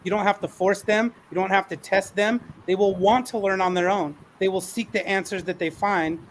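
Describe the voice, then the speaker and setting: A man's voice: high-pitched, fairly even intonation, moderate pace, a little hiss or hum. A man hosting a panel discussion, speaking in an encouraging, affirming manner. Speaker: panel moderator. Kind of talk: moderating an online panel discussion.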